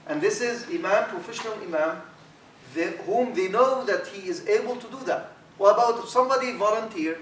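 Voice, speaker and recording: A middle-aged man with an Arabic accent, an imam teaching a training workshop, animated and repeating words as he talks, with Arabic fillers. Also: sits high. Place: university lecture room. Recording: echoey room, distant mic, faint hiss.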